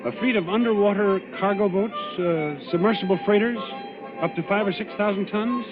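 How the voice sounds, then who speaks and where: Actor as a resolute naval commander, tinny old radio. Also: male voice, sits high, fairly quick, close to an everyday voice. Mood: polite, probing. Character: resolute young male naval commander, level-headed. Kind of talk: 1940s radio acting. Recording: tinny old radio broadcast, music behind.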